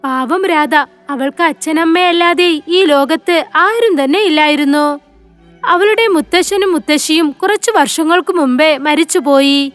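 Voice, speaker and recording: A woman's voice: extremely high pitch, measured pace, a bit bright, no echo, soft background music. A woman narrating a scripted moral story for children.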